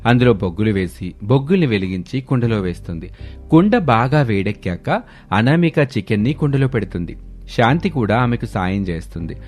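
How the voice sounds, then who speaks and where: A man's voice: mid-range voice, sing-song, normal speed, a little mellow. A man reading scripted story narration as the narrator. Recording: music bed under voice. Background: background music.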